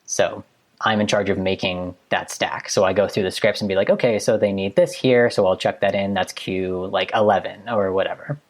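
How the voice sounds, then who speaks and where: A high-school student in his late teens, a production assistant, chatting casually and rambling with lots of filler words. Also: fairly even intonation, normal speed. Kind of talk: casual chat.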